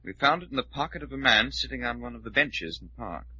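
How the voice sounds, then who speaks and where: An actor playing a composed, precise investigator's sidekick, factual and clipped, in old radio drama style. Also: male voice, medium pitch, lively intonation, fast, bright, forceful tone. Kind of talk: 1940s radio acting. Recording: dull, noisy old radio recording.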